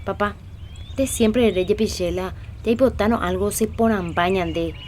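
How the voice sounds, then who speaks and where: An actress playing Juliana, an earnest rural wife in a radio drama. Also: female voice, medium pitch, moderate pace, a little mellow, slight background noise, natural voice. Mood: worried.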